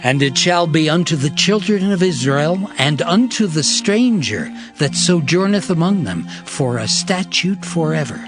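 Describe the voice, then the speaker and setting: A man playing a solemn prophet reciting ritual law deliberately in a dramatized scripture reading. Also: on the high side.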